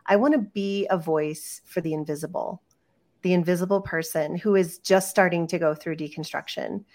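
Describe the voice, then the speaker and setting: A woman's voice: fairly low pitch, steady tone, moderate pace, a little mellow. A woman co-hosting a podcast, talking with a guest in a chatty, enthusiastic way and laughing easily. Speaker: podcast co-host. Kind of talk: casual conversational interview.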